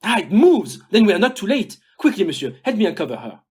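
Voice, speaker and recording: An actor playing a courteous, shrewd foreign detective in an audio play, speaking formally with French exclamations. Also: male voice, high voice, very animated, fast, a little mellow. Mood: alarmed, urgent.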